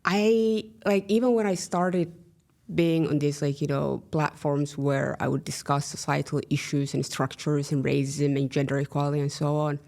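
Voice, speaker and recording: Somali-born woman with a gravelly edge. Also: low voice, little pitch movement, normal speed, a little mellow. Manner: frequent "like, you know" fillers, wry humor. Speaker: guest. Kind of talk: casual conversation.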